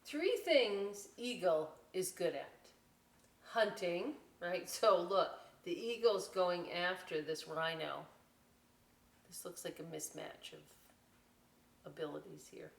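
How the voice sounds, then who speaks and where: A woman's voice: fairly low pitch, slow pace, vocal fry. An American woman presenting a children's story time, reading a picture book aloud expressively and chatting through folksy, explanatory asides. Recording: roomy echo, distant microphone.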